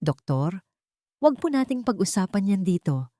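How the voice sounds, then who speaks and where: A woman's voice: mid-range voice, sing-song, normal speed, mellow, no room sound, clean studio sound, lightly acted. A woman voicing a distraught mother in her fifties in a story, tearful and imploring. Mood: anxious pleading.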